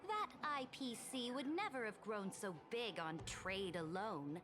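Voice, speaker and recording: An actress playing a proud, youthful empress in a video game, speaking in formal, haughty rhetoric about power and conquest. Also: female voice, medium pitch, animated, a little slow, lightly acted. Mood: scornful, defiant. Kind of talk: scripted video game voice acting.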